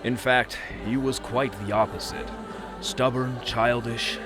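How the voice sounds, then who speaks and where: An actor playing a good-natured, easily flustered young Viking prince who tells tales theatrically with dramatic pauses. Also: male voice, mid-range voice, fairly even intonation, lightly acted. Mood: dramatic storytelling. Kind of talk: scripted fairy-tale storytelling. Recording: music behind the voice.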